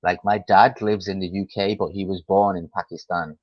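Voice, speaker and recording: A young man with a Mancunian accent talks easily over a video call, his voice sitting very low and unhurried. He fills pauses with ums and restarts as he explains things. The call audio is dull and dry. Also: no echo. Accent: Mancunian English. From Manchester, England. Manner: relaxed, chatty, many fillers and restarts. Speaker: English tutor. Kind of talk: casual video-call conversation.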